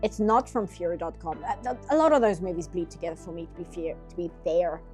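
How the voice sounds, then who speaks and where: A woman's voice: fairly low pitch, fairly quick, a little mellow, soft background music. A nasal-sounding woman streaming herself painting, chatting casually in rambling asides. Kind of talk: casual chat while drawing.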